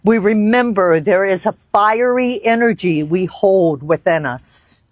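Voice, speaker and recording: Slow-paced woman guiding a meditation. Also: mid-range voice, no echo. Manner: slow, deliberate, reverent phrasing with long pauses. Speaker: yoga teacher. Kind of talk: guided meditation and yoga instruction. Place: outdoor park.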